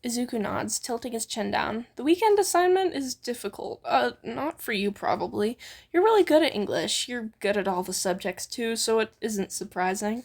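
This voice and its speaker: A woman reads a story aloud informally in a high voice with a husky edge. Her pitch moves around a lot, and she keeps a playful manner with asides and banter. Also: lively intonation, normal speed. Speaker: co-reader on a fan fiction reading channel. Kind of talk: informal fan fiction read-aloud with banter.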